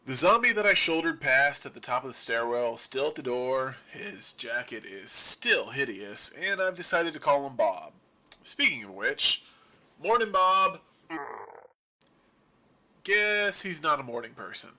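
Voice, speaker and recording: A man's voice: medium pitch, very animated, measured pace, pushed and bright, heavily acted. A man playing a wry survivor of a zombie apocalypse in an audio drama, rambling to his listeners in a casual, sarcastic, self-deprecating radio monologue. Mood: sardonic.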